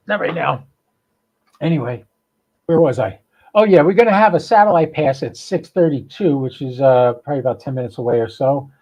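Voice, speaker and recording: A man's voice: on the high side, darkish tone, slightly rough, dull audio. A man hosting a hobby podcast, chatting informally and thinking aloud in a rambling live-stream style. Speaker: amateur radio podcast host.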